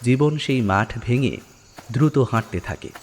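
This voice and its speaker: A man's voice: medium pitch, lively intonation, measured pace, a little hiss or hum. A man giving a dramatic scripted narration of a horror story.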